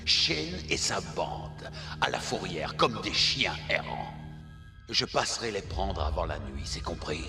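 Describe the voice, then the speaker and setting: Sinister cartoon mastermind, low gravelly voice, commanding, deliberate, long echoing reverb. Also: male voice, sing-song, exaggerated voice. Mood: contemptuous. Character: cold, menacing male villain mastermind giving orders. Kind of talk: animated series dubbing in French. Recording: echoey hall reverb with music.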